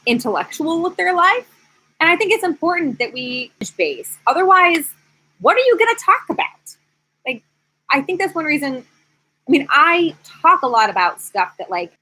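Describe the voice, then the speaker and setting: Bright-voiced woman in her thirties, chatting casually. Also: fairly high pitch, breathy edge, rough recording. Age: 30s. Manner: candid, animated, rambling. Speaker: YouTube host, former teacher. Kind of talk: casual live-stream chat, answering viewers. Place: screened outdoor porch.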